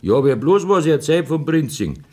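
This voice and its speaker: An actor playing a pious, defensive middle-aged Bavarian war veteran in a radio drama, speaking dialect. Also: male voice, a little high, sing-song, moderate pace, dark tone. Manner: self-righteous, defensive.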